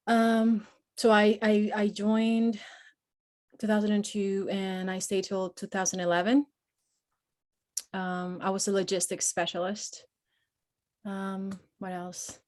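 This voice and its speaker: A woman in her forties, born in Peru, speaks as a guest on a podcast. She talks openly and in a personal way, with lots of pauses and fillers, and her pitch sits mid-range for a woman. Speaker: guest, Marine veteran and nonprofit founder. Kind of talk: conversational podcast interview.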